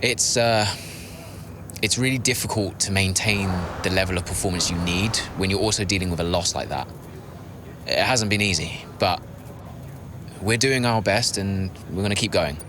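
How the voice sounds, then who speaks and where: An actor playing a loyal, steady racing driver in a video game drama, speaking in a measured, hesitant, earnest way. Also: male voice, low voice, close to an everyday voice. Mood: subdued. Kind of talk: naturalistic video game cutscene acting. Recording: clear background ambience noise.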